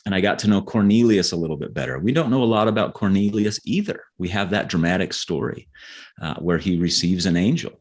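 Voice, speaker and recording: Middle-aged guy with a low voice, interview chat, kinda halting, repeats words while he thinks. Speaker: guest novelist. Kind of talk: conversational interview answers, storytelling.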